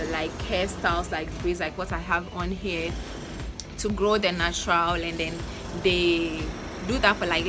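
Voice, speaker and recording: A young woman with a Ghanaian accent giving earnest, coaching advice in a casual vlog, repeating her points. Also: lowish voice. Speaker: natural hair vlogger. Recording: music playing under the voice. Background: background music.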